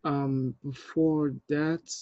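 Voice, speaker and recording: A man's voice: a little high, flat delivery, extremely slow. A man around his thirties chatting casually as host on a video call. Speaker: host, filmmaker running a table read. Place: small room indoors, on a video call. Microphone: earphones on a video call. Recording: dull, echoey video-call audio.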